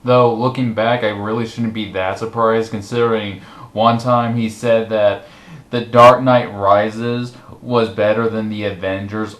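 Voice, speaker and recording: A man's voice: on the low side, little pitch movement, unhurried, fairly bright tone. A man hosting casual movie commentary in a sarcastic, incredulous manner. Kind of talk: casual commentary with read-out quotes.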